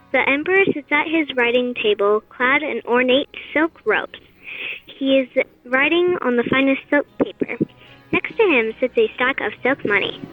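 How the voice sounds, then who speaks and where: A woman reading scripted narration for an audio play. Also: high voice. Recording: tinny, phone-like band-limited audio.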